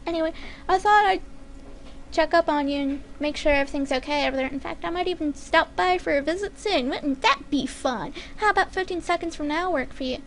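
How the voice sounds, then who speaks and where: A woman's voice: sits high, fairly quick, fairly bright tone. A woman voicing a cheeky female pony assassin in a story, sarcastic, flippant and breezily gleeful in manner. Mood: mock-friendly.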